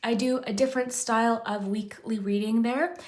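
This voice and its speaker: A woman hosting a pick-a-card tarot reading, chatty and rambling, and a little hoarse from losing her voice. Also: fairly high pitch, fairly even intonation, normal speed, a little mellow. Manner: chatty, rambling, frequent "kind of" and restarts. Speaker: tarot reader, channel host. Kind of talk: casual pick-a-card tarot chat to camera.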